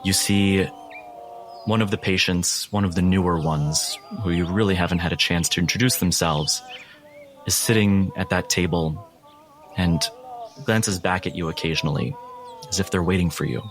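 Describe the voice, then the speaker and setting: A low-pitched man runs a tabletop horror game as narrator. He sets each scene deliberately and ominously, with music clearly playing beneath his voice. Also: steady tone. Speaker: game master.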